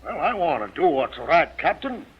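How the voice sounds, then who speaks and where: An actor playing a nervous, evasive bar owner in an old-time radio crime drama, hesitant and ingratiating toward the police. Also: male voice, fairly high pitch, big pitch swings, normal speed, slightly rough, heavily acted. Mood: nervous, placating. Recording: muffled vintage radio recording.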